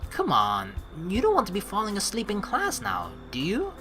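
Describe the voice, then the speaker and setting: A woman doing casual let's play commentary and reading game dialogue aloud in an expressive, playful, sweary way. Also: mid-range voice, normal speed, creaky, soft background music.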